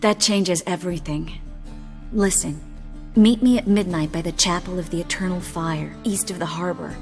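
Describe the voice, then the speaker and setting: A woman's voice: lowish voice, fairly even intonation, a little mellow, long reverb tail, faint music behind, lightly acted. Woman playing a wry, composed game sorceress. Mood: earnest. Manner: dry, measured, articulate. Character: composed, world-weary, wry young female sorceress. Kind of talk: video game voice acting.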